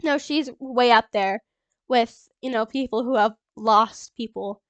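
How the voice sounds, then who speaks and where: A young woman with a stuffy nose from a cold, rambling excitedly in a casual movie reaction vlog, full of ums and little laughs. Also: on the high side, unhurried, no echo. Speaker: YouTuber.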